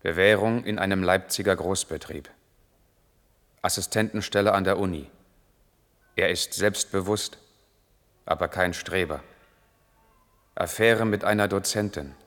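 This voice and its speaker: Deep-voiced brooding man, long reverb. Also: fairly bright tone. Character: brooding male lover, an East German intellectual, torn and evasive. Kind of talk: 1980s German radio play acting, measured narration and intimate dialogue. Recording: long reverb, music in the mix.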